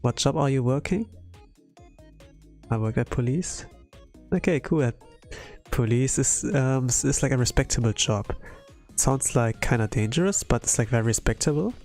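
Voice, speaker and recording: A man from Europe, a non-native English speaker, chatting casually on a livestream in a hesitant, halting way with lots of fillers, sounding tired. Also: medium pitch, darkish tone. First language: not English. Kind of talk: casual rambling livestream chat. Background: background music.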